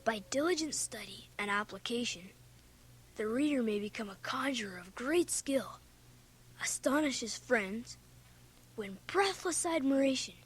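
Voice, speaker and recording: An actress playing a bookish, cheeky young schoolboy in a radio drama, earnest and theatrical. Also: female voice, on the high side, lively intonation, a little slow, poor audio. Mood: earnest, awed. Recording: hissy home cassette transfer of radio drama.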